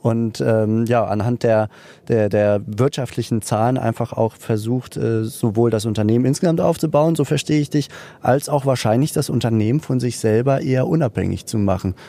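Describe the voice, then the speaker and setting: A man's voice: mid-range voice, normal speed, creaky, mellow. A man hosting an interview, asking questions and summing up his guest's answers, sometimes searching for words. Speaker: interviewer, host.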